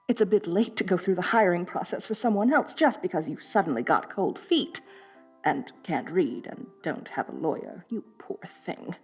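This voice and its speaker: A woman's voice: medium pitch, slightly rough. A woman playing a rich, bossy lamia mistress in a roleplay audio drama, haughty and condescending with affected elegance. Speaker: wealthy mistress addressing a new servant.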